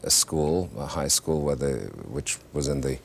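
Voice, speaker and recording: Very deep-voiced man telling wry stories. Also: fairly even intonation. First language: German. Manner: thoughtful, halting with many restarts, wry humor. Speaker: interview guest, actor and singer. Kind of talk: reflective conversational storytelling. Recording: faint hiss, 1970s TV studio.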